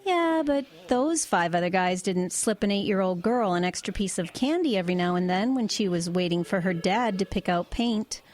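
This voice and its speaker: A woman's voice: mid-range voice, lively intonation, moderate pace, few pauses, a little mellow, close to an everyday voice. A woman playing a no-nonsense divorced truck driver in an audio drama, speaking in a direct, dry, conversational way. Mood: frank, persuasive. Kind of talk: audio drama acting and first-person narration.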